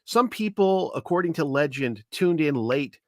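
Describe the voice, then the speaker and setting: A man's voice: on the high side, lively intonation, normal speed, darkish tone, no echo. A man co-hosting an online show, chatting casually and telling anecdotes in a conversational way on a close microphone. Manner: conversational, acts out quoted lines. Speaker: co-host and commentator.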